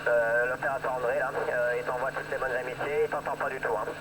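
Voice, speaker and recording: Through loud radio noise comes a man with a medium-pitched voice. He chats casually as an amateur operator, hesitating often, repeating phrases and tagging them with small filler words. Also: steady tone, fast. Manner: hesitant, repetitive, tags phrases with "hein". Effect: two-way radio. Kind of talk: casual radio contact chat. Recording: very noisy radio transmission.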